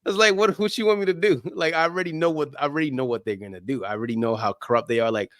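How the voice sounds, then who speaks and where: Male podcast guest, very quick, emphatic casual talk, close dry sound. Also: fairly high pitch, fairly bright tone, dry recording. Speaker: guest, soccer podcast creator. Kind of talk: animated casual interview chat.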